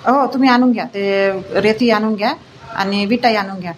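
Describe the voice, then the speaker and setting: A woman playing an outspoken, practical village sister-in-law in an animated family comedy. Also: mid-range voice, brisk, a little hiss or hum, close to an everyday voice. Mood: insistent.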